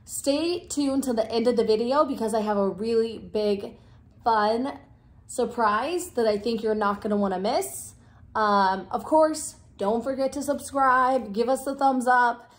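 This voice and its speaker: Woman, 30s, raspy cold-worn voice, slightly high, chatty rambling vlog host, close phone mic at home. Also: unhurried. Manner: chatty, rambling with many fillers. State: hoarse from a cold, low energy. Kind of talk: casual chat to camera. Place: living room, indoors.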